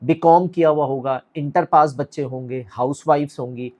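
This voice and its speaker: A man's voice: on the high side, fairly even intonation, moderate pace, a little mellow, muffled recording. A middle-aged man speaks straight to camera as the founder of an academy. He urges the viewer on and keeps putting questions to them in a direct, motivational way. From Pakistan.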